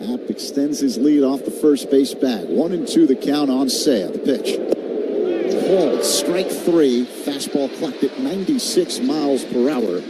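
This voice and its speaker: A man with an American accent calls baseball on the radio in a mid-pitched voice. Clear ballpark noise sits behind his commentary. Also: animated, measured pace. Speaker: radio play-by-play announcer. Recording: clearly audible stadium ambience noise. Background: ballpark ambience.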